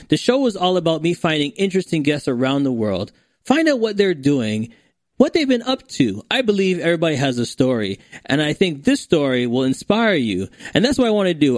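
Male host, hype energy, stammers and repeats, higher voice, dry close mic. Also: no echo. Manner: enthusiastic, repeats words, hypes the guest. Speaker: podcast host. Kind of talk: casual interview hosting.

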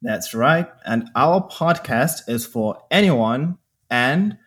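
A man's voice: mid-range voice, animated, takes it slowly, a bit bright, slightly rough. A man from Zimbabwe hosting a podcast, sharing his faith in casual, earnest talk. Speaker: podcast host.

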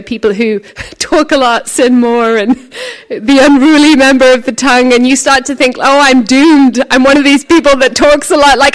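A talkative woman with a naturally loud voice preaches at a conference, telling stories and acting out quotes. Her voice sits a bit high for a woman. She talks freely and with energy. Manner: chatty, animated storytelling with acted quotes. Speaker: conference speaker. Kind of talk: conference sermon.

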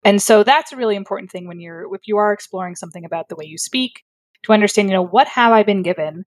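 A woman's voice: mid-range voice, fast talker, no echo. Articulate woman speech therapist, dry sound. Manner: articulate, thoughtful, many fillers and self-corrections. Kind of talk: conversational explanation.

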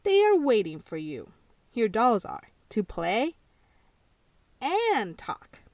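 A dreamy, imaginative little girl of about four wishes out loud in a high, lively voice, as a woman reads her part. She talks slowly and earnestly in a storybook tale. The sound is dry and set a little back from the mic. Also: dry recording, recorded from a distance, heavily acted. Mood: wistful.

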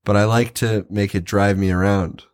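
A man's voice: lowish voice, steady tone, normal speed, no echo. A man co-hosting a podcast in casual chat, easygoing and wry. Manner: easygoing, wry, chuckles often. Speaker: podcast co-host.

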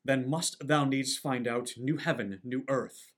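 A man's voice: medium pitch, measured pace, natural voice. A man playing a proud, lovestruck Roman general in theatrical, declamatory Shakespearean verse. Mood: ardent, impatient.

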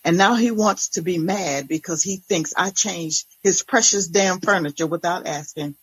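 A woman narrator giving an expressive, deliberate first-person reading of a dramatic story. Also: fairly low pitch, breathy edge, poor audio.

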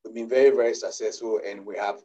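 A man with a Ghanaian accent gives measured interview answers, his phrases trailing off at the ends. His voice is mellow and sits a little back from the mic. The sound is dull, like remote call audio. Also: on the low side, steady tone, recorded from a distance, a little hiss or hum. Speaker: business founder guest.

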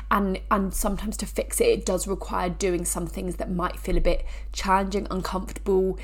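A woman hosting her own fitness podcast, her voice blocked and nasal from a recent cold. She talks in a loose, chatty way, often restarting sentences and tossing in asides, at an ordinary middle pitch. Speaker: podcast host. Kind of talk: casual educational podcast talk.

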